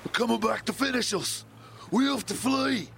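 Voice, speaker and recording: A man's voice: very high-pitched, moderate pace, gravelly, a bit bright, slight background noise, heavily acted. An actor playing a haunted, wounded sailor losing his mind in a seafaring audio drama. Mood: panicked, raving.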